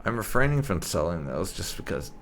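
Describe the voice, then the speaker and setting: A man thinking aloud during a game playthrough, talking in a dry, wry and unhurried way. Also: deep voice, very animated, slight background noise. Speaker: gaming channel host. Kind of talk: casual gameplay commentary.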